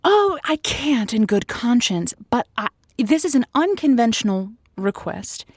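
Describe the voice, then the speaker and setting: A woman's voice: on the high side, big pitch swings, measured pace, no echo, studio quality. A woman playing a thoughtful, sympathetic host of a conversational call-in advice show, with a hesitant, stammering delivery. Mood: amiable, thoughtful.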